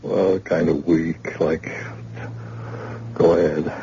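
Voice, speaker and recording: A man plays a wounded plumber in a vintage radio play, with a very low gravelly voice and dark tone. his lines come weak and halting, and the old recording sounds dull with faint hiss. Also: level tone, heavily acted. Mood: weary. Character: wounded working-class plumber in hospital bed. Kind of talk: old-time radio drama acting.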